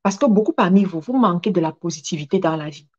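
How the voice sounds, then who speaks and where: A woman's voice: on the low side, animated, fast talker, a little mellow. Emphatic female motivational speaker, slightly muffled sound. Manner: emphatic, repeats phrases for effect. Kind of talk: motivational talk with personal anecdotes.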